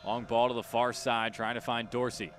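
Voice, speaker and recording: A man's voice: medium pitch, level tone, normal speed, a bit bright. Man calling live soccer play-by-play. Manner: clipped running description, urgent and emphatic. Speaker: play-by-play announcer. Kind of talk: live radio soccer commentary.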